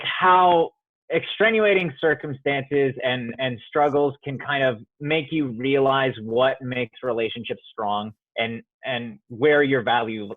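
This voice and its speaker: A man's voice: medium pitch, no echo. A young man from Iowa talks through a narrow, phone-like line with no room sound at all. He is a student playwright on a remote call, and he rambles and restarts his thoughts as he goes. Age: young adult. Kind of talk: remote interview conversation. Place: home studio apartment. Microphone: phone or video call. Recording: dry tinny phone line.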